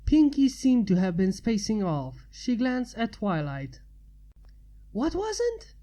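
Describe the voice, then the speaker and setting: A woman's voice: mid-range voice, big pitch swings, a little slow, dark tone. A woman narrating fan fiction as a story reader.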